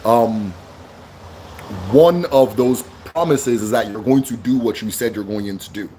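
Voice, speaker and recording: Man, loud emphatic voice, casual livestream teaching, faint background noise. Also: medium pitch, measured pace, poor audio. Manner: loud, emphatic, keeps asking "right?". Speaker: livestream host teaching spirituality.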